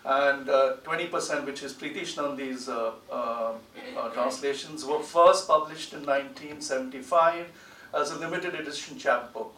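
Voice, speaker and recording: A man's voice: mid-range voice, moderate pace, bright, forceful tone. A middle-aged man speaking and reading as a poet at a public panel event. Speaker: poet, translator and book editor on a panel. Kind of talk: panel talk and poetry reading. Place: large indoor lecture theatre. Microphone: desk gooseneck microphone. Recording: roomy hall, distant mic, faint noise.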